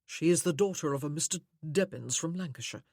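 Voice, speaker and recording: A woman reader plays a courteous, guilt-laden gentleman lord in a period romance audiobook. The voice is low and husky, with formal, restrained phrasing. The recording is dry and close. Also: fairly quick, no room sound. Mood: guarded politeness.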